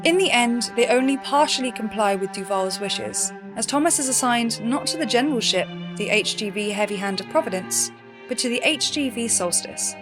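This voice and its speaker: Actress as higher-voiced woman historian, music behind. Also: animated, normal speed, natural voice. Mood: measured, explanatory. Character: measured female historian recounting a future war. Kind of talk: scripted documentary-style audio drama narration.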